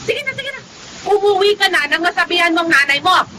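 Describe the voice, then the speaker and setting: A woman's voice: expressive, brisk, noisy background. An actress playing a hot-tempered Filipino woman storekeeper who nags and scolds in radio drama style. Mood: angry, scolding. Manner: nagging, shrill, exclamatory scolding. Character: scolding, hot-tempered female neighborhood storekeeper demanding debts.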